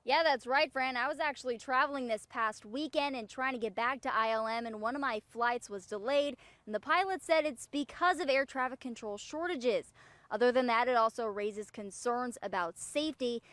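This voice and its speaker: Female TV news reporter, American, slightly high register, scripted live-report delivery. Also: normal speed, a bit bright. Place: on location at an airport for live segments.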